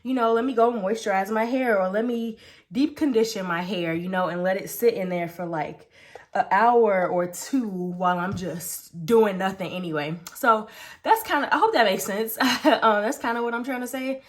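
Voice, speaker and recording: A woman's voice: mid-range voice, normal speed. Nasal, congested young woman chatting. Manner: rambling, filler-heavy, frequent "like" and restarts; talks while oiling her hair. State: pregnant. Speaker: beauty vlogger. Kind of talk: casual chat while doing her hair. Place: home vanity room, indoors.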